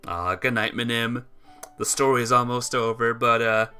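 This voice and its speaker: A woman's voice: a bass voice, normal speed, bright, forceful tone. A woman game streamer reading a visual novel aloud and chatting casually with viewers. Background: music.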